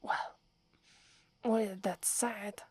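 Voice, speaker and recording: A woman with an Italian accent voicing a proud young werewolf woman, whispering softly close to the mic and stammering when flustered. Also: mid-range voice, fairly even intonation, very slow, slightly rough, no echo. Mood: shy, flustered. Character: proud young werewolf woman, gruff but secretly fond. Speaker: ASMR roleplay performer. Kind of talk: whispered ASMR roleplay.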